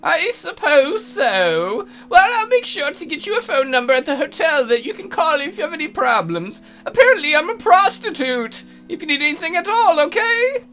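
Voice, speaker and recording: A man voicing a fussy, needy mother in an exaggerated comic falsetto for a visual novel. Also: very animated, normal speed, few pauses, pushed and bright, faint music behind, heavily acted. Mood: fussy, sweet.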